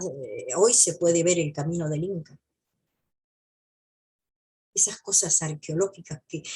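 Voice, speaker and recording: A woman with a Buenos Aires province accent talks history to her viewers. Her voice sits low for a woman and has a husky, rough grain. She is reflective and emphatic, circling back on phrases to give them weight. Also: a little mellow. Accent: Argentine, Buenos Aires province. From Buenos Aires province, Argentina. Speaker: history video presenter. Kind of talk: informal history commentary to viewers.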